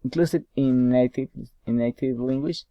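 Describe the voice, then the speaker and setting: A young adult man, a native Spanish speaker with a Spanish accent, answers study questions aloud in English. He is halting and repeats words while searching for them. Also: mid-range voice, warm tone, no room sound, highs cut off. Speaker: university English student.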